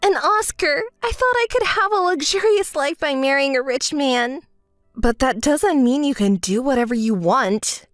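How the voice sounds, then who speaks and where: A woman voicing a calm, fed-up young woman who answers in a level, dry, scolding way in a dramatized story. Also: high voice, normal speed, no room sound, soft background music.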